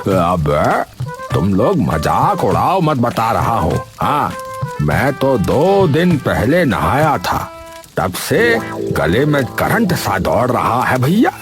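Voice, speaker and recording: A man voicing excitable, teasing village men in comic cartoon dialogue, with folksy, exclamatory banter. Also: mid-range voice, slow. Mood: folksy grumbling. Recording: music behind the voices.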